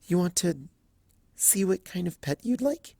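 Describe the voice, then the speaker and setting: A man voicing a timid, gentle young female pony from a cartoon fan story, soft, hesitant and stammering. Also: sits high, lively intonation, measured pace, vocal fry, dry recording, studio quality, pitched above the performer's own voice, full character voice. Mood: meek, hesitant.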